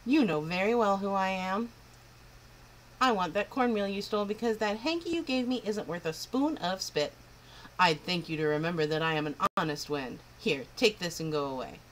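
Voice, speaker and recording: A woman reading a storybook aloud, voicing a proud, brusque old male wind spirit with a lowered voice. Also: expressive, normal speed, natural voice, rough recording. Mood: gruff.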